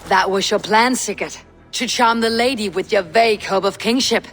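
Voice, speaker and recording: A woman's voice: mid-range voice, normal speed, a bit bright, lightly acted. An actress playing a level-headed, sceptical Viking warrior woman in a video game, questioning and blunt. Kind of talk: video game cutscene acting. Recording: long hall reverb, music behind.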